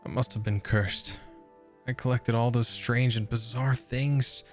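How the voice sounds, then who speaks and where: A man's voice: medium pitch, fairly even intonation, unhurried. A man hosting a gaming video, casually commenting and reading game text aloud as he plays. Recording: game music behind the voice.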